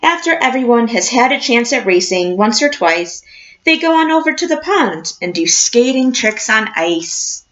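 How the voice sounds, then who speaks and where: Animated, high-voiced woman reading to children. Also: normal speed, lo-fi sound. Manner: clear, encouraging, expressive teacher's delivery for children. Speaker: teacher reading a picture book aloud to young children. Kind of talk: storybook read-aloud with questions to a class.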